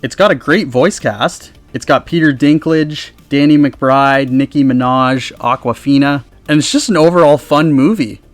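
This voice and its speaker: A man's voice: on the high side, normal speed, few pauses, no echo. A man hosting a countdown review in a casual, chatty, enthusiastic way, speaking close to the microphone. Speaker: video host and reviewer. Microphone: close USB microphone.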